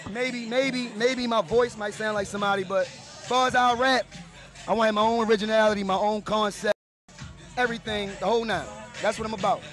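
A man with a raspy voice, interviewed at his own party in a dark club, talking in laid-back street slang. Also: high-pitched, steady tone, a little fast. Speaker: rapper being interviewed. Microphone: handheld mic held by interviewer. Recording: music playing behind.

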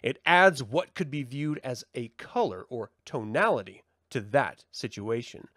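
A man reading a formal essay aloud as a host, with measured, emphatic delivery. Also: medium pitch, no room sound, clean studio sound. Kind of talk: expressive scripted essay reading.

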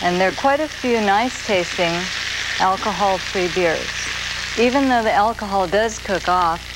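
A woman in her forties hosting a TV cooking show, explaining a recipe in a slow, gentle, soft-spoken way from a studio kitchen set. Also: mid-range voice. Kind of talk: TV cooking demonstration. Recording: grainy 1980s videotape with noise.

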